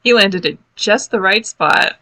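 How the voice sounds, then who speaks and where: A woman giving casual, expressive live reaction commentary on a cartoon as a video host, full of exclamations. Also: mid-range voice, a little slow. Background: cartoon soundtrack playing.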